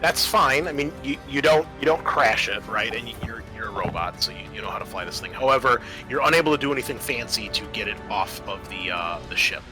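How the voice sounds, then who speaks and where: A man's voice: medium pitch, lively intonation, a little fast, few pauses, low-quality recording. A man running a tabletop roleplaying game as game master, narrating scenes and explaining the rules to players. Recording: music under the voice. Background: music.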